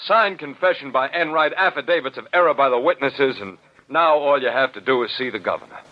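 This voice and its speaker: Man acting fast-talking, insistent newspaper reporter. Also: mid-range voice, lightly acted. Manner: urgent, persistent, argumentative. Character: insistent, crusading male newspaper reporter pleading innocence. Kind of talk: 1940s radio drama acting. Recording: muffled vintage 1940s radio broadcast.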